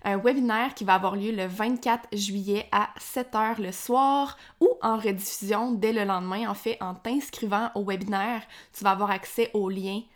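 A woman's voice: medium pitch, steady tone, moderate pace, hardly any pauses. A young woman with a Québécois French accent hosting a nutrition podcast, talking informally to the listener with many "euh" restarts, slightly congested. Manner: chatty, informal, addresses listener directly, frequent "euh" restarts. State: slightly congested, frog in throat. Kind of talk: solo podcast explainer.

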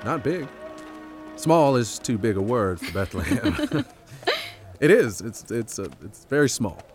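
A man's voice: medium pitch, very animated, a little slow, faint music behind. A man acting a devoted, earnest and reassuring husband in an audio drama. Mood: wry, musing.

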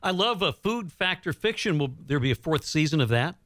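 A man's voice: fairly high pitch, expressive, brisk, fairly bright tone, dry recording, clean studio sound. A man hosting an interview, asking conversational questions and offering his own comments. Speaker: radio interviewer, host.

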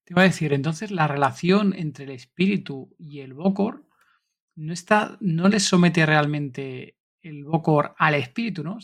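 A man with a notably high voice hosts the interview. He thinks aloud as he asks, checking that he has understood. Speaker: interviewer host. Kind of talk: conversational interview questions.

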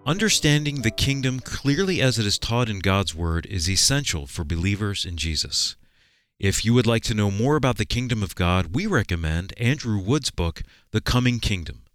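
A man's voice: fairly low pitch, sing-song, moderate pace, a bit bright, dry recording, studio quality. A man working as a radio announcer, giving a scripted promotional read.

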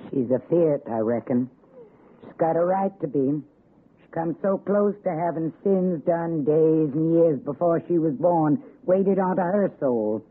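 An actor playing a grim, commanding mountain elder with an Appalachian accent in an old radio drama. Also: male voice, fairly high pitch, measured pace, husky, phone-quality sound. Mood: solemn. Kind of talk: old-time radio suspense acting. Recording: thin 1960s radio with music.

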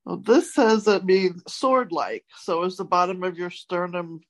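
A woman's voice: fairly low pitch, measured pace. A woman hosting a word-definition quiz in a casual, chatty style. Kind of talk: casual chat.

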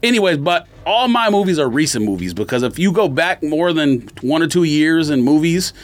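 A man's voice: a little high, animated, moderate pace. A man hosting a podcast, chatting casually and bluntly in slangy, opinionated talk. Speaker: podcast host.

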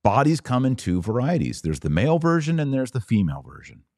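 A man hosting a podcast, giving a religious explanatory monologue in a didactic, emphatic way, pausing and repeating words for stress. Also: medium pitch, big pitch swings, darkish tone, no echo, studio quality. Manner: didactic, emphatic, with deliberate pauses and repetition for stress. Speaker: podcast host.

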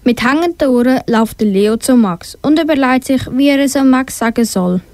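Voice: sits high, fairly quick, a little mellow, no echo. A young female narrator reading a scripted children's audio story.

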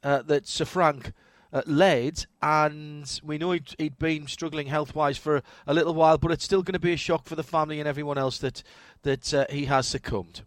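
A man's voice: on the high side, fairly even intonation, normal speed, no echo. A man hosting a radio talk show, introducing a guest hesitantly, with fillers and stammering.

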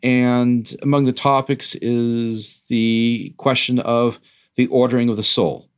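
A man's voice: medium pitch, little pitch movement, slow, smooth. A man co-hosting a podcast, explaining ideas in an articulate, lecture-like way. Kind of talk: measured explanatory talk.

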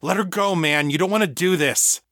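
A man's voice: medium pitch, expressive, normal speed, sharp tone, no room sound, studio quality. A man playing a reasonable, protective male friend, firm and pleading, in a narrated story. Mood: urgent pleading. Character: reasonable young man, protective peacemaker facing violent friend.

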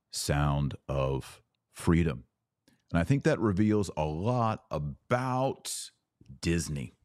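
A man with a very low voice reviews a film on his podcast in an earnest monologue. He leans hard on the words he wants to stress. The sound is dry and close to the mic. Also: darkish tone, no room sound. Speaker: podcast host.

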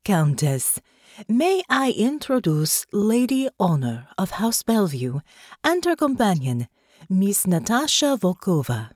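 A woman's voice: mid-range voice, sing-song, slow, a little mellow, no room sound, studio quality, voice pushed up. A woman voicing a courteous androgynous noble making formal introductions. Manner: polite, formal.

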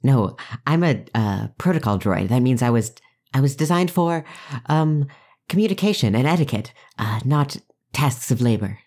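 A player doing a fussy protocol droid, formal and hesitant. He picks his words with little pauses, in a middle-pitched everyday voice. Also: expressive, darkish tone.

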